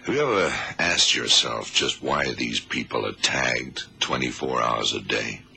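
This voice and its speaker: Very deep, gravelly detective boss. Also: male voice, animated, pushed and bright, heavily acted. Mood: serious, reflective. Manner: unhurried, measured, terse. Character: blind male American FBI detective, calm, probing boss. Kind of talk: 1970s radio drama acting. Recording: faint noise, old radio recording.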